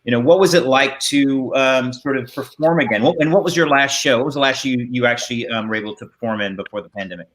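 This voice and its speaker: A middle-aged man hosts an informal theater talk on a video call. His voice sits at an average male pitch. He feels his way through sentences, stopping and restarting as he goes. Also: fast talker, hardly any pauses, fairly bright tone. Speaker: theater host introducing cast. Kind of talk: casual promotional chat. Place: indoors at home or studio, on a video call.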